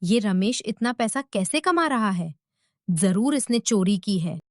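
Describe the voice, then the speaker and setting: A woman's voice: mid-range voice, lively intonation, measured pace, no echo, clean studio sound, lightly acted. A woman voicing a jealous rival male snack seller in a cartoon story. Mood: suspicious.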